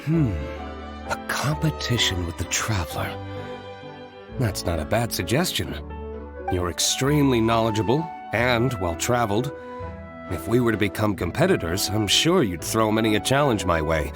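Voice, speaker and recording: A man plays a reserved, shrewd young wine tycoon in an anime game. His voice is on the low side, cool, measured and formal, with clear music behind it. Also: animated, lightly acted. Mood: measured explaining.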